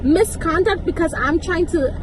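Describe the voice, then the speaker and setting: A young woman with a high-pitched voice tells a casual story, emphatic and indignant, slipping into slangy asides and acted-out dialogue. She is recorded with clear background noise, like outdoor or vehicle sound. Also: normal speed. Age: young adult. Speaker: vlogger. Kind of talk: casual storytime, acting out conversations.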